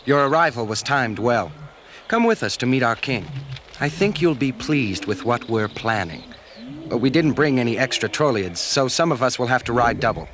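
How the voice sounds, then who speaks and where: An actor playing an earnest, resolute rebel fighter in a 1970s space-opera audio drama, with brisk declarative speech. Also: male voice, medium pitch, expressive, fairly bright tone, close to an everyday voice. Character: resolute male rebel swamp fighter, loyal guide. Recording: noisy outdoor sound effects behind.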